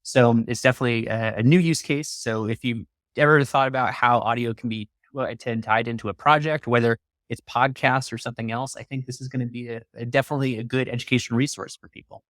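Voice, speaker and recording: A man with a medium-pitched voice hosts an interview show. He is enthusiastic and wordy, explaining things in full sentences. The sound is dry, with very little room on it. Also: steady tone, quick pace, hardly any pauses, fairly bright tone, dry recording. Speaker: podcast host. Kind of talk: upbeat hosted interview talk.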